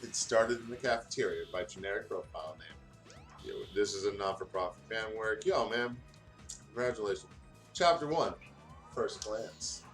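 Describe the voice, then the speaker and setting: A man's voice: fairly low pitch, animated, normal speed, a bit bright. A man hosting a let's play, casually reading fan fiction aloud with a goofy, giggly, stumbling delivery. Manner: giggly, goofy, often stumbling over what he reads. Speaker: host of a let's play channel. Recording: music playing behind the voice. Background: music.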